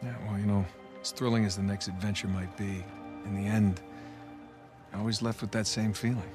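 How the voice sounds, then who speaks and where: An American man in his thirties playing a good-natured, gently sardonic retired adventurer in a video game, casual and a little hesitant. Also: fairly low pitch, steady tone, fairly quick, close to an everyday voice. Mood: earnest, warm. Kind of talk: video game cutscene acting. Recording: music under the voice.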